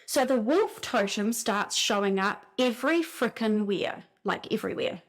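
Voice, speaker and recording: A woman with a New Zealand accent gives a casual spiritual talk, telling stories in an animated way and often saying "like". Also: fairly high pitch, normal speed. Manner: animated storytelling, frequent "like" fillers. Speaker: spiritual speaker.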